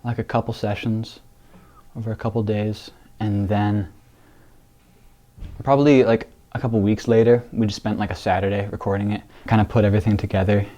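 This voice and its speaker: A man student musician in an interview, talking casually and haltingly with many likes. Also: fairly low pitch, steady tone, dark tone.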